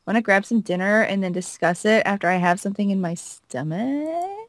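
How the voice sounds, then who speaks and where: A woman's voice: medium pitch, expressive, fairly quick, no room sound, studio quality. A woman co-playing a game, reading dialogue aloud in a casual way and chatting between lines. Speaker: co-player reading a character's lines.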